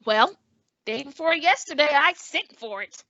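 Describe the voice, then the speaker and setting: A woman's voice: high voice, expressive, unhurried, bright, forceful tone, no echo, exaggerated voice. A woman playing an eager, mischievous teenage schoolboy in an old-time radio comedy. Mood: gleefully scheming. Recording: dull, muffled audio.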